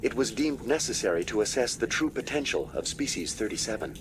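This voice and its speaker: A man voicing a polite, detached virtual assistant in a video game, speaking in a formal, measured way. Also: mid-range voice, big pitch swings, background noise, close to an everyday voice. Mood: impassive, formal. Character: polite, impassive male virtual assistant, unfailingly procedural.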